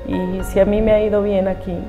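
A woman's voice: medium pitch, level tone, a little fast, narrow, tinny audio, over music. A woman from Colombia who runs a hotel, speaking earnestly in a documentary interview with some hesitant fillers. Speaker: hotel owner, human rights lawyer.

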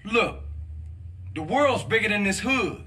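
A young street gangster in a game cutscene, put-upon yet loyal to family. The voice is a little high and clearly bright. He speaks defensively, in casual slang. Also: lively intonation, takes it slowly, a little hiss or hum, full character voice. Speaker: video game character.

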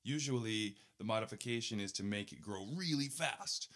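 Male narrator, lower-pitched voice, earnest and pointed, for a self-read opinion article. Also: moderate pace, fairly bright tone. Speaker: author reading own article aloud.

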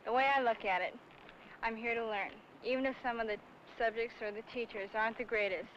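A woman's voice: fairly high pitch, steady tone, brisk, fairly bright tone. A young woman giving an earnest statement of her views. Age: teens or young adult. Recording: dull old film, faint vehicle noise.

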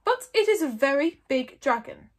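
Woman as a loud, high-pitched blustering Viking chief. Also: big pitch swings, normal speed. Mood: commanding. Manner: loud, declamatory.

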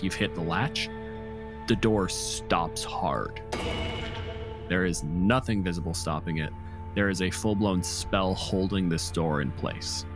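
A man narrating a tabletop game as game master, improvising informal descriptions with the odd swear word. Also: low voice.

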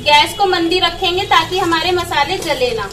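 A woman's voice: very high-pitched, moderate pace, recorded from a distance, background noise. A woman explaining a recipe step by step as she cooks in her home kitchen. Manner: step-by-step instructing. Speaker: home cook vlogger. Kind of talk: cooking demonstration.

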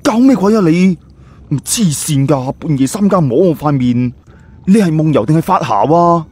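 A man's voice: a little high, animated, normal speed, warm tone, slightly rough, pitched above the performer's own voice. A man voicing an irritable, analytical young man in an audiobook, explaining things in an emphatic, colloquial way. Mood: annoyed. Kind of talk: audiobook character dialogue.

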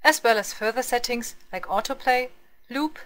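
A woman reading scripted screencast narration as a tutorial narrator, in a measured, instructional manner. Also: a little high, fairly bright tone.

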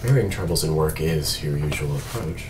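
Actor as android police detective, low male voice, formal, precise, dry wit, distant mic, faint noise. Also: animated, normal speed. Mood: deadpan. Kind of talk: table read of a film script.

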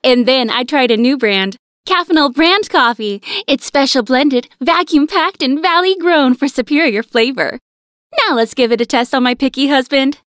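An actress playing a cheerful housewife, sweet and salesy, in a vintage radio advertisement. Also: female voice, high-pitched, moderate pace, a bit bright, no room sound, clean studio sound. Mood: cheerful, persuasive. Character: cheerful housewife pitching coffee in an old commercial.

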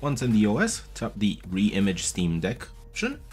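A man in his thirties casually walking viewers through a device setup step by step, chatty and patient, recorded at a desk with a boom mic. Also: huge pitch swings, normal speed, soft background music. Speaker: tech video host. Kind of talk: casual tutorial walkthrough. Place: small room at a desk. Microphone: studio mic on a boom arm, wearing headphones.

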